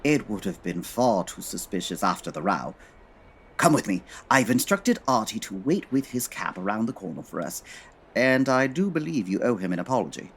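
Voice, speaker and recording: An actor playing a haughty, brilliant gentleman detective in a comedy audio drama, speaking formally with precise diction. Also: fairly low pitch, sing-song, moderate pace, slightly rough, heavily acted, rough recording. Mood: smug, explaining.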